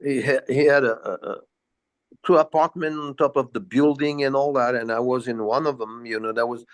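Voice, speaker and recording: A man's voice: mid-range voice, steady tone, a little fast. Older Frenchman reminiscing casually. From south of France. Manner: rambling, repetitive, peppered with "you know". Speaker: interview guest, jazz bassist.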